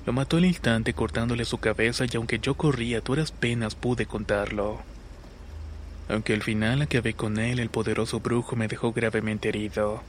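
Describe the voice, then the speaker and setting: A man narrating a scripted scary story in a measured, serious reading. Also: mid-range voice, fairly even intonation, a little hiss or hum. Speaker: narrator of listener-submitted horror stories.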